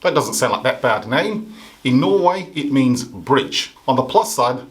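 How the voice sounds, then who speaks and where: A man's voice: medium pitch, animated, normal speed. A man from Africa hosting a comedy show, delivering a satirical monologue with sarcastic, punchy mock outrage.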